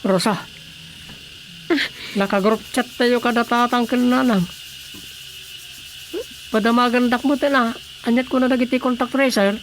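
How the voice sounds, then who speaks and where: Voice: mid-range voice, fairly even intonation, a little slow, long pauses, noisy background, close to an everyday voice. An actor with an Ilocano Filipino accent playing an anxious, exclaiming husband in a comedic radio drama. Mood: pleading, dramatic.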